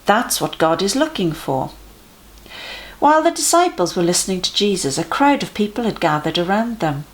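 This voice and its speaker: A woman reading a children's storybook aloud in an expressive, animated way with dramatic emphasis. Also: lowish voice. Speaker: children's story reader for a church.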